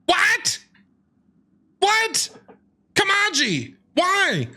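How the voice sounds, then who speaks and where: A man giving casual live reaction commentary on a video game in an excitable, jokey way, often repeating himself. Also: very high voice, very slow. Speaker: game streamer reacting to a story quest. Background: game music.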